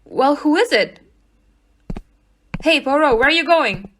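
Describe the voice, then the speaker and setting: A woman playing a frank, brisk police inspector in an audio play, speaking in a casual, plain way. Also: high voice, expressive, fairly bright tone, a little hiss or hum. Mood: questioning, urgent.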